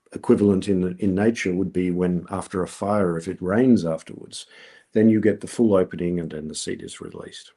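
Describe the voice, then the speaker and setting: A man's voice: fairly low pitch, mellow, husky. A middle-aged man with an Australian accent, giving a scientific presentation over a video call, explaining steadily with many ums and uhs. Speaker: researcher giving a colloquium talk.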